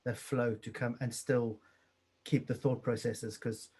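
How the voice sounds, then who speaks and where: A man explaining his work as a translator in a panel discussion, in a measured way. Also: medium pitch, flat delivery, a little mellow, slightly rough.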